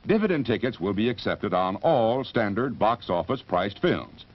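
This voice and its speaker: Casting: male cinema announcer, husky, lively vintage pitch, dull old film soundtrack. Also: normal speed. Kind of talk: vintage movie theater promotional announcement.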